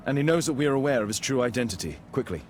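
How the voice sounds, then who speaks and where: A man playing a brisk, analytical detective in a video game, confident and commanding, with precise, clipped delivery. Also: mid-range voice, expressive, a little hiss or hum, lightly acted. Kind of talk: dramatic video game acting.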